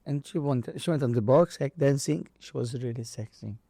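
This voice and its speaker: A man's voice: medium pitch, normal speed, gravelly, warm tone, slightly rough, no echo. Maltese man telling stories, very dry sound. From Malta. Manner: acts out dialogue and impact sounds; non-native, broken English with Maltese mixed in. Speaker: boxer and boxing trainer, interview guest. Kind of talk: casual animated storytelling.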